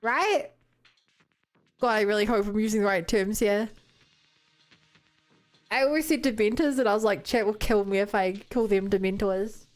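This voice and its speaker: A woman's voice: medium pitch, moderate pace, long pauses, faint music behind. A woman with a New Zealand accent chatting casually as she streams a game. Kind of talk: casual livestream chat over a game.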